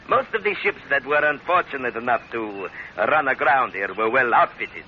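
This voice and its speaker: An actor playing a smug, flattering pirate salvage boss in an old-time radio adventure, speaking formally and wordily with an ingratiating polish. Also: male voice, medium pitch, creaky, exaggerated voice. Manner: suave, ingratiating, wordy and formal. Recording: dull, noisy vintage radio recording.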